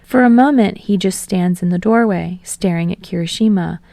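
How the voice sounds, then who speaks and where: A woman's voice: on the low side, moderate pace, vocal fry, dark tone, no echo. A woman reading scripted fiction as an audiobook narrator.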